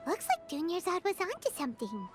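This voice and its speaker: A woman's voice: extremely high pitch, huge pitch swings, fairly quick, music in the background, exaggerated voice. A woman voicing a tiny, childlike fairy companion in a video game, chatty, curious and blunt. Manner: exclamatory, blunt, childlike reactions. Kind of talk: scripted video game voice acting.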